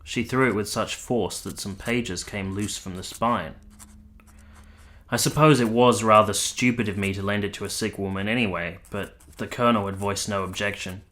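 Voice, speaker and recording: A man's voice: lowish voice, normal speed, fairly bright tone, lightly acted. A man playing an earnest, reserved army captain in a period radio drama, speaking formally and reflectively. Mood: somber, reflective. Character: earnest, reserved young army captain narrating his memories. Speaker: narrator and lead character.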